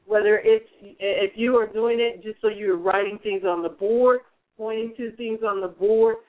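A man's voice: sits high, fairly even intonation, normal speed. A man hosting a radio advice show, coaching in a strong, projecting voice with frequent restarts. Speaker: radio show host, mentor. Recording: phone-like narrow band.

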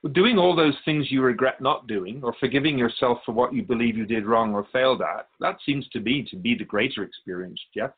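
A man playing a wise otherworldly keeper of souls in a radio drama, speaking in a measured, philosophical, instructive way. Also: medium pitch, slightly rough, narrow, tinny audio. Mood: calm, instructive. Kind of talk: radio drama dialogue in interview form.

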